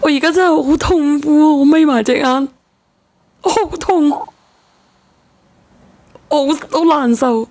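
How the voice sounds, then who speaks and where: A woman's voice: high-pitched, takes it slowly, pauses often, a little mellow. A woman hosting an emotional phone-in show in speech, probing and exclaiming, repeating herself insistently. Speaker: call-in show host. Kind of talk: emotional radio phone-in talk.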